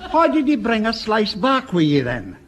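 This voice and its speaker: An actor playing a scornful, exclamatory older soldier in a broadcast sitcom. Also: male voice, high voice, wildly swooping pitch, normal speed. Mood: inquisitive. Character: dour, mocking old Scottish male Home Guard soldier.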